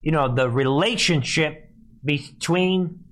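A man's voice: on the high side, slow pace, fairly bright tone. A man hosting live political commentary, speaking emphatically and scornfully and repeating phrases to drive them home. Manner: scornful, mocking. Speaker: live news commentary host.

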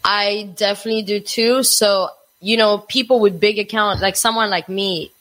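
A woman from Africa chats as a guest with plain, frank talk. Her voice sits at a middle pitch. She often laughs between points. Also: fairly even intonation, normal speed, a bit bright, low-quality recording. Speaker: guest, chef and social media influencer. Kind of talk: casual chat.

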